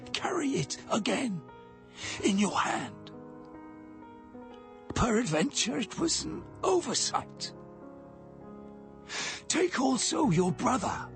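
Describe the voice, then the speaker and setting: An actor playing an aged, grieving Israelite patriarch in a dramatized scripture reading, speaking in halting, solemn archaic phrases. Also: male voice, high voice, creaky, full character voice.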